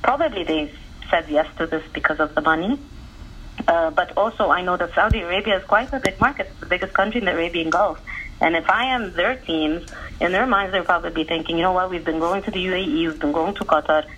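A woman's voice: lowish voice, a bit bright, a little hiss or hum. Woman on a dull phone line. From Egypt. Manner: earnest, fluent, quick to explain. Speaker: tennis journalist guest. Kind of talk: conversational interview answers.

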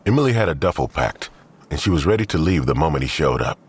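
A man's voice: a bass voice, very animated, quick pace, breathy edge. A man reading scripted narration for an audio drama.

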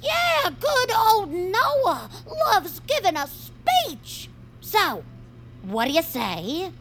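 A very animated little bully creature, high and smug. She drawls her threats in a slow, mock-sweet taunt, in the manner of a family audio drama villain. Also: slight background noise, full character voice. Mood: mock-sweet menace. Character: smug bullying peepkin henchwoman, a small creature. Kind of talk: audio fiction acting.

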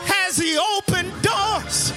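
A man preaching a sermon in a shouted, chanted cadence, often repeating his words as he builds. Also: extremely high pitch, takes it slowly. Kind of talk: whooping sermon. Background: organ music.

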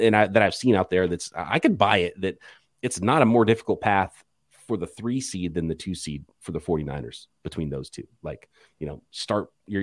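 A man co-hosts a sports show in a fairly low voice with very lively pitch movement. His talk is casual and animated, and the sound is close and dry. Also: dry recording. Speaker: sports podcast co-host.

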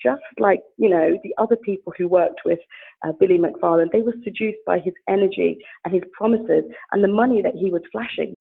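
A woman's voice: medium pitch, fairly quick, creaky, slightly rough. A woman from the Bahamas with a British accent, speaking frankly as a journalist guest, with many "you know" fillers and restarts over a phone line. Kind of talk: phone interview, candid conversational.

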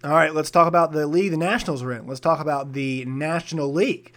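Young male sports podcast host, raspy today, fairly high voice, energetic and opinionated. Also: fast. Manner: energetic, opinionated, with frequent fillers and restarts. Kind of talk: casual sports podcast commentary.

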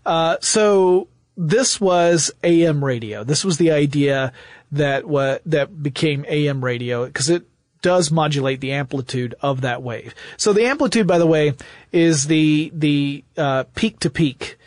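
A man's voice: fairly high pitch, measured pace, dry recording, studio quality. A man hosting a podcast, explaining technology history in conversation with enthusiasm and hypothetical examples. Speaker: podcast host.

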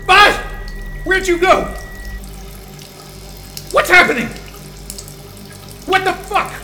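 An actor playing a stubborn, frayed, sardonic astrophysicist in a naturalistic sci-fi audio drama, talking in a profane, wry, agitated way. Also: male voice, very high-pitched, sharp tone, full character voice. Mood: panicked, disoriented.

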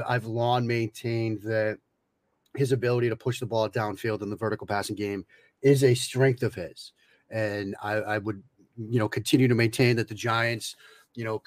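A man's voice: lowish voice, no echo. A man appearing as a guest analyst on a podcast, explaining things in an analytical way with lots of "you know" and restarts. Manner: analytical, many fillers and restarts. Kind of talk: casual podcast conversation.

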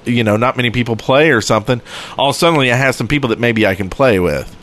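A man with an average-pitched voice chats as a podcast co-host. His delivery is casual and conversational, as part of a group. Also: fast, fairly bright tone.

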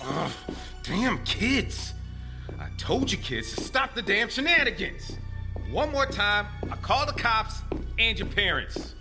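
An irritated grown man in an audio drama. His voice is high and swings up and down as he grumbles and scolds, slow and threatening, with clear music behind him. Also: sing-song, exaggerated voice.

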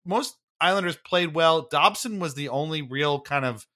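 A man's voice: on the high side, animated, moderate pace, bright, forceful tone. A man hosting a sports podcast, casually recapping in a conversational, wry way with frequent hedging. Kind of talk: casual hockey game recap chat.

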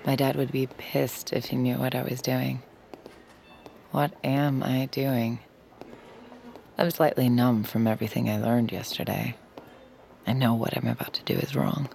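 An actress playing a troubled, secretive woman in an audio drama, confiding and restless, with a low, creaky voice. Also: level tone, measured pace, pauses often, darkish tone, slight background noise, full character voice. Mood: anxious, confiding. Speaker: lead actor and first-person narrator. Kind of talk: audio drama acting with inner monologue.

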